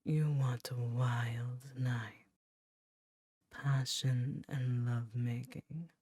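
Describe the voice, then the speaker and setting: An ancient demon queen, as a woman puts her on, whispering very low and dark right up against a close mic. She speaks slowly and intimately, teasing yet motherly. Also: steady tone, husky, no echo, exaggerated voice. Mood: seductive. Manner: teasing, then gently nurturing. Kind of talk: ASMR audio roleplay.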